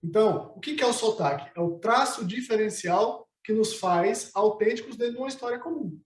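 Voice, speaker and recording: High-voiced man explaining, echoey room. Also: fairly quick. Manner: teacherly, poses and answers his own questions. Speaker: guest, psychologist and philosopher. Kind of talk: explanatory conversation. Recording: roomy echo.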